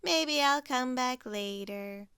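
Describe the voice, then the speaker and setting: Drowsy goose lady, put on by a woman reader, slow drawl, bright clear voice. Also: high-pitched, expressive, dry recording, studio quality, full character voice. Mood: sleepy. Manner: yawning, drawling. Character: sleepy tired female goose.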